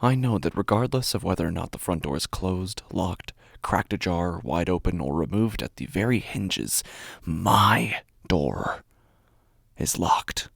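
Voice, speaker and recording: A man playing an anxious, obsessive apartment dweller in a horror audio drama monologue, with a gravelly, often breathy voice and intense, deliberate delivery. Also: animated, fairly bright tone, no room sound, studio quality, exaggerated voice. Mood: tense, brooding. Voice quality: low, gravelly, often breathy, dropping to whispers. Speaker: horror fiction narrator.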